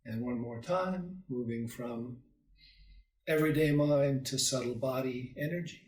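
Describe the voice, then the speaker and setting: Slow-paced man guiding meditation, roomy echo. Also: medium pitch. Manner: calm, soothing, with long pauses between short phrases. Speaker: meditation teacher. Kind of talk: guided meditation. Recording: roomy echo, distant microphone.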